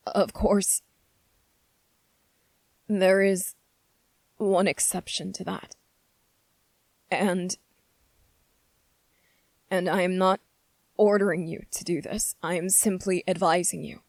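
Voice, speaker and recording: A woman playing a gentle, anxious young prince in an ASMR roleplay, speaking in a hesitant, earnest and pleading manner. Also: lowish voice, fairly even intonation, slow pace, long pauses, dry recording. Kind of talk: ASMR roleplay, scripted character acting.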